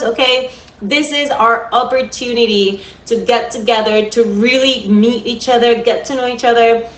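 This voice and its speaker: A woman's voice: sits high, a bit bright. Bubbly Cuban-accented woman livestreaming. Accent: Cuban Spanish. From Cuba. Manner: upbeat, chatty, addresses viewers directly. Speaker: livestream host. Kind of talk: casual livestream talk to viewers. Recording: echoey room.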